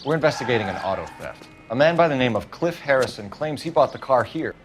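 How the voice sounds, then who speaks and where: A businesslike police detective in a video game, played by a man, with a mid-pitched voice that moves freely up and down. He is formal and methodical in his questions, and music plays clearly behind him. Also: animated, quick pace, lightly acted. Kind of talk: video game character acting.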